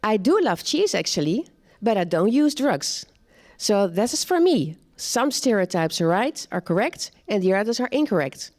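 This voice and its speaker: A woman presenting a live seminar talk on culture, speaking in a measured, plain way and checking in with her audience. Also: mid-range voice, sing-song, a little mellow.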